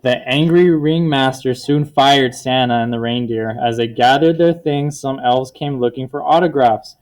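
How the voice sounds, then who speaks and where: A man with a mid-pitched voice reads a children's picture book aloud as a teacher. He explains words in simple terms and often turns to the class with questions to check that they follow. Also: moderate pace.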